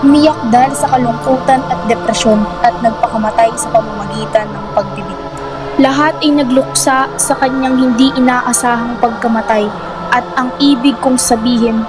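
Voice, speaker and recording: A woman's voice: a little high, steady tone, moderate pace, music in the background, rough recording. A woman giving scripted narration for a radio drama.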